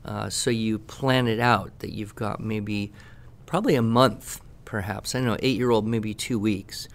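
A man giving conversational expert advice on a podcast, explaining in measured phrases with pauses mid-sentence. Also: fairly low pitch, fairly even intonation. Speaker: education expert answering questions.

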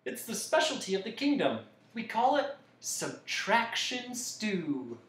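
A man's voice: high-pitched, very animated, slow pace, a bit bright, distant mic, pitched above the performer's own voice, full character voice. A man voicing a pleased, chatty many-faced creature from a storybook, explaining things like an eager teacher. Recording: echoey room.

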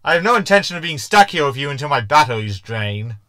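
A man's voice: fairly high pitch, sing-song, fast, sharp tone, smooth, dry recording, clean studio sound. A man playing a fussy, anxious, sarcastic hologram crewmate in a sci-fi sitcom audio drama. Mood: sarcastic, gloomy. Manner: sarcastic, complaining.

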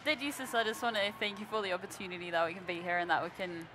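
A young woman from Norway, a panelist, saying a quick, quiet prayer. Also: on the low side, noisy background. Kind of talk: spoken prayer.

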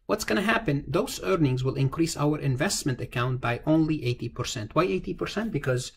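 A man's voice: on the high side, expressive, brisk, vocal fry, husky. A man teaching an informal step-by-step tutorial, explaining as he goes, repeating phrases and asking himself questions that he then answers. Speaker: accounting lecturer. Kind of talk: informal tutorial lecture, thinking aloud through a worked example. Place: indoors, screen-recorded voice-over. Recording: noticeable background noise.

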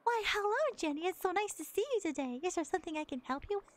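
A woman's voice: very high voice, expressive, fast talker, voice pushed up. A woman voicing a cheerful, perky cartoon mouse girl in a sweet, sing-song way while reading game dialogue aloud.

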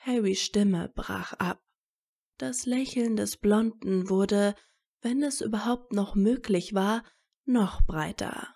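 A woman's voice: medium pitch, slow pace, vocal fry, a little mellow, breathy edge. A woman reading a story aloud as its narrator in a scripted audiobook style.

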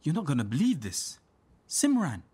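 An actor playing an amiable, forgetful, earnest British husband in a naturalistic radio soap. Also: male voice, fairly high pitch, wildly swooping pitch, moderate pace, warm tone, husky, full character voice. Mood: earnest, insistent.

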